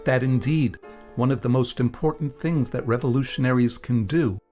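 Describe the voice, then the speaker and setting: A man reads scripted radio narration at a middling pitch. His delivery is measured and explanatory, like a presenter setting up a reading. Faint music plays behind him. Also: darkish tone.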